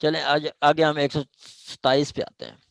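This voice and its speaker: Higher-voiced Pakistani man lecturing. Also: flat delivery, measured pace, gravelly, dry recording, studio quality. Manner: explanatory, repeats phrases and checks understanding. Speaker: law lecturer. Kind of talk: informal exam-oriented law lecture.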